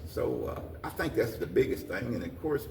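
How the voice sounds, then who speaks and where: A man's voice: medium pitch, lively intonation, normal speed, creaky, darkish tone. An older American man answering interview questions in a folksy, repetitive way, urging people on in plain words. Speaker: guest, community organization president. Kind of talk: interview talk, plain-spoken encouragement. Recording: roomy, distant mic, faint noise.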